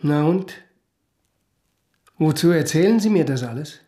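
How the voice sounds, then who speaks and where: A man's voice: fairly high pitch, animated, a little slow, dark tone, reverberant. A man in a radio drama playing a cold, powerful financier who talks down curtly to others. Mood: dismissive. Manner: curt, condescending. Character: cold, dismissive, condescending powerful male financier. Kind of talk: German radio drama acting.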